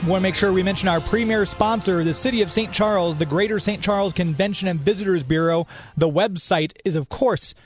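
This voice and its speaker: A man's voice: high voice, a little fast, faint music behind. A man hosting a radio show in a chatty, informal, off-the-cuff way, moving between casual talk and sponsor reads.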